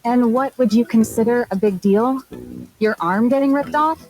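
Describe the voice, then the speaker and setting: A woman's voice: fairly high pitch, normal speed, darkish tone, natural voice, rough recording. A woman playing a blunt, exasperated young mechanic in a video game, speaking in a dry, scolding manner. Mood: stern, irritated.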